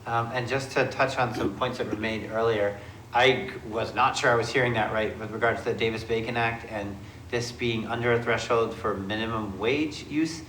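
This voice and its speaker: A man chairing a city committee meeting, with a gravelly voice and an informal, thinking-aloud way of asking questions. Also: medium pitch, steady tone, moderate pace, a bit bright, echoey room, slight background noise, poor audio.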